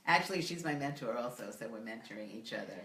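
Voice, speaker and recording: A woman's voice: extremely low pitch, expressive, fast talker, a bit bright, echoey room, slight background noise. A woman hosting an interview in a friendly way, laughing easily. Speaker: host. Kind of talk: interview host.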